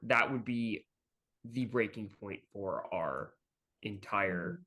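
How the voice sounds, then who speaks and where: A man's voice: on the low side, slow, bright, forceful tone. A man in casual podcast conversation, speaking in an earnest, emphatic way. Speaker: fandub team member on podcast.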